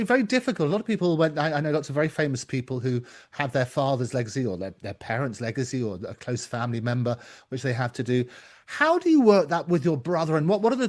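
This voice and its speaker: Earnest British man hosting a talk show. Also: fairly high pitch. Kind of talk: conversational interviewing.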